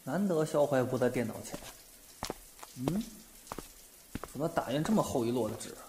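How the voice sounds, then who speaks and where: A man voice-acting an impulsive, soft-hearted young bookstore boss in a Chinese audio drama, exclaiming and animated. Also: mid-range voice, moderate pace, background noise, lightly acted. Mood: puzzled. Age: young adult. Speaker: voice actor.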